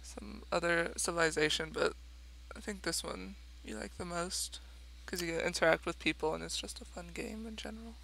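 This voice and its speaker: A woman's voice: fairly low pitch, fairly quick, vocal fry, a bit bright, slight background noise, low-quality recording. A woman from Mexico, a native Spanish speaker, reading viewer questions as co-host with brief laughing asides.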